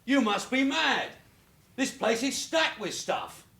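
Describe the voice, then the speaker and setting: A middle-aged detective sergeant in a radio drama. The voice is rough-edged and very varied in tune. He gives orders with dry sarcasm. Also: very high voice, lively intonation, slow pace, pushed and bright, full character voice. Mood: astonished. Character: shrewd, sardonic veteran London detective sergeant. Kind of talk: naturalistic radio drama acting.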